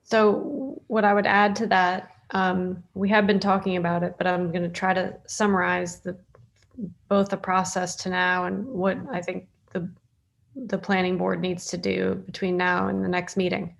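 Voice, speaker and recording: A woman chairing a meeting on a video call, speaking informally with frequent pauses mid-sentence. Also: lowish voice, flat delivery, gravelly. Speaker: planning board chair. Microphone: video call microphone.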